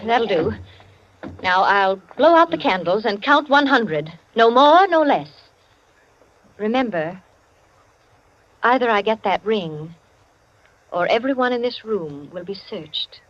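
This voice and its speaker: A woman acting a stern, imperious society hostess in a radio mystery drama, speaking firmly and deliberately. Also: fairly high pitch, lively intonation, lo-fi sound. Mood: stern, commanding. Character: stern, commanding society hostess confronting a thief.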